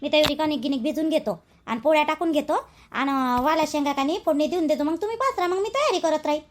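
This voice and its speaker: Young village wife for a cartoon, polite and obliging, high voice, Vidarbha Marathi accent, faint noise female performer. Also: animated, fast. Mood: practical, obliging. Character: dutiful, soft-mannered young married daughter-in-law.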